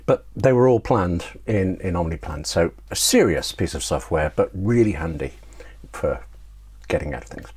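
Man, husky, fairly low pitch, casual interview chat, wry, self-deprecating, rambling anecdotes. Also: lively intonation, moderate pace, a little mellow. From Britain. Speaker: interview guest, writer.

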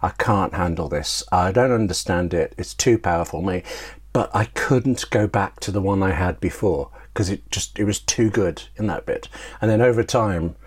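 A man's voice: low-pitched, normal speed, breathy edge. A British man talking casually as an interview guest, a talkative writer who interrupts himself as he tells anecdotes. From United Kingdom. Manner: talkative, self-interrupting storyteller.

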